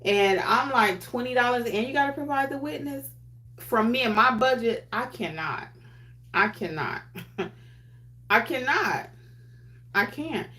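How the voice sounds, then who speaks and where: A woman's voice: fairly high pitch, animated, a little slow, long pauses. Chatty woman notary host, candid slang. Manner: says she has her quiet voice on. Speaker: notary trainer hosting a livestream. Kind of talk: casual livestream chat reading viewer comments.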